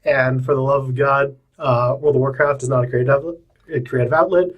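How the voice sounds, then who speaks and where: A young man with a husky, mid-pitched voice hosts a loose, casual podcast. He talks in a halting, self-deprecating way and repeats words now and then. The sound is a small booth. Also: steady tone, muffled recording. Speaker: podcast host.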